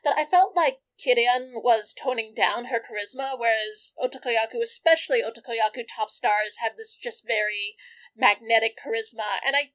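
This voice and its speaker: A woman's voice: on the high side, moderate pace, a bit bright, rough recording. A woman co-hosting a podcast in casual chat, talking with many "um"s and thinking aloud. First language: not Japanese. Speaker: podcast co-host.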